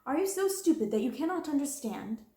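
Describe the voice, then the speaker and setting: A woman's voice: a little high, animated, fairly quick, mellow, reverberant, pitched above the performer's own voice, close to an everyday voice. A woman voicing a harsh, domineering middle-aged schoolmistress in a storybook reading, cold and scornful.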